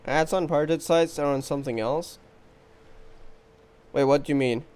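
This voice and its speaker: A man's voice: a little high, normal speed, darkish tone, clear, resonant tone. A man casually commentating on a game playthrough live, chatty and laid-back in his short reactions. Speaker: game streamer. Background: game music.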